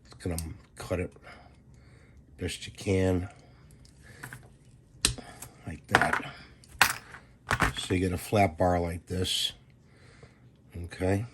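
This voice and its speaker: A man with a hoarse voice from a cold, hosting his own channel and casually walking through a simple do-it-yourself fix with plain, unhurried instructions. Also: deep voice, level tone, background noise. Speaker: DIY channel host. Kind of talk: casual how-to demonstration.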